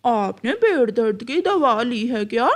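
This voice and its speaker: A woman's voice: a little high, animated, a little slow. A woman voicing a naive, cheeky young boy crow in a children's cartoon, boastful and childlike. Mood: friendly. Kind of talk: children's animal cartoon voice acting.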